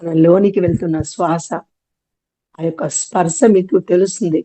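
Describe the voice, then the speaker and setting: A woman leading a guided meditation, giving gentle instructions in short, often repeated phrases. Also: fairly low pitch, fairly even intonation, brisk.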